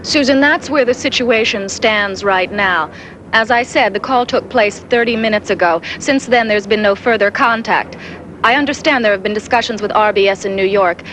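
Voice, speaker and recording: An actress playing a composed female TV field reporter, delivering breaking news in measured, formal style. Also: mid-range voice, fairly bright tone, lightly acted. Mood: composed, serious. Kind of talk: 1980s TV news bulletin acting. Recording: faint noise, 1980s TV broadcast.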